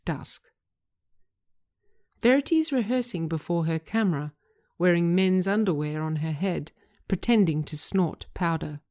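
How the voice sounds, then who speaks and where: A woman's voice: lowish voice, animated, a little slow, warm tone. A woman reading scripted screenplay narration as its writer and narrator.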